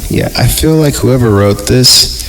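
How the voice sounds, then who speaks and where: A man's voice: medium pitch, measured pace, gravelly, a bit bright, slight background noise, poor audio. An American man hosting a podcast, reading a story aloud in a mock-dramatic style with irreverent, joking asides. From Fresno, California. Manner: irreverent, jokey. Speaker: podcast host reading horror stories aloud. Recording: music bed behind the voice. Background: music.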